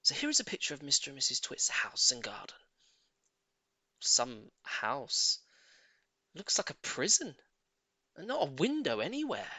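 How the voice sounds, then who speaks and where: A man narrating a children's audiobook in an expressive, theatrical and emphatic storytelling manner. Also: mid-range voice, measured pace, pauses often, slightly rough, dry recording.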